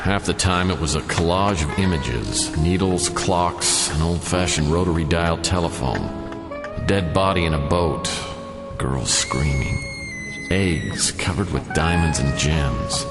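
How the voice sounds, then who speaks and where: An actor playing a wisecracking hard-boiled detective who narrates in a dry, sardonic noir style. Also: male voice, low-pitched, unhurried. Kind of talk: noir radio drama narration. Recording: reverberant with music behind.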